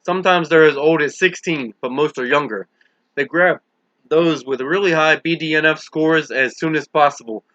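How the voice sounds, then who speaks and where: A man's voice: a little high, moderate pace, a bit bright, no echo, lo-fi sound, lightly acted. A man voicing a plainspoken, earnest housekeeper confiding what she knows in an audiobook reading. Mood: earnest, explaining. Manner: explanatory, confiding. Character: Maureen, lowly woman housekeeper confessing secrets, earnest and plainspoken.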